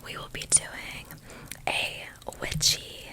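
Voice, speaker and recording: Slow whispering woman, playful ASMR. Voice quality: breathy, unvoiced whisper. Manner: playful, repeats words and phrases rhythmically. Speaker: ASMR video host. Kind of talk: ASMR, whispered chat to viewers.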